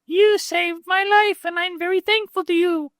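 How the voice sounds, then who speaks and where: A man voicing a bold, boastful little male weasel in a storybook reading, proud and brisk. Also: very high voice, steady tone, fairly bright tone, dry recording, clean studio sound, pitched above the performer's own voice. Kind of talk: storybook read-aloud.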